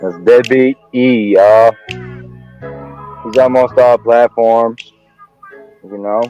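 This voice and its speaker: A man's voice: medium pitch, fairly even intonation, takes it slowly, dark tone, highs cut off, soft background music. A man hosting a casual livestream chat, talking in a laid-back way and trailing off, recorded on a phone. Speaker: host.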